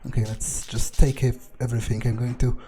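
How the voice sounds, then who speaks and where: A man's voice: medium pitch, level tone, fairly quick, lightly acted. A man playing a wary, foul-mouthed vampire in a game playthrough, thinking aloud in a hesitant mutter. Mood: tense muttering. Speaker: let's player. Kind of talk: in-character gameplay commentary, thinking aloud. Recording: noticeable background noise.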